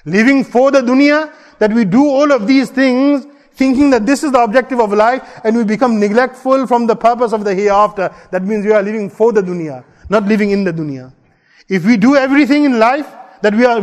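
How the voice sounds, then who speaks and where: Man, imam, Friday sermon, earnest, repeats key lines, fast delivery, long reverb of a mosque hall. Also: sits high. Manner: earnest preaching, repeats key phrases, quotes Arabic verses. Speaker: imam giving a Friday talk.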